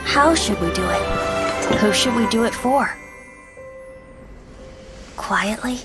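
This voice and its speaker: A woman playing a gentle, musing narrator in a video game cutscene, with poetic scripted delivery. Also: a little high, animated, slow. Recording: loud music behind voice.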